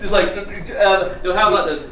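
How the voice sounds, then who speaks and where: A man's voice: high voice, fairly even intonation, moderate pace, a bit bright. A man narrating and riffing as a comedy performer at a live comics reading. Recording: echoey distant live room with crowd.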